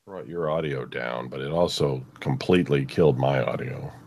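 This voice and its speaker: A man with a bassy voice co-hosting a casual radio show, thinking aloud in a hesitant way during a sound check. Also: moderate pace, creaky, background noise. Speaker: radio show co-host and audio engineer.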